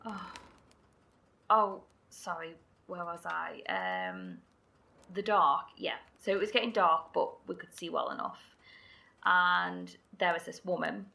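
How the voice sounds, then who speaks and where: Slow gravelly woman survivor. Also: on the low side, animated, pushed and bright, full character voice. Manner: storytelling, hesitant, sighing. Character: woman survivor recounting eerie night. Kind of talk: audio drama acting.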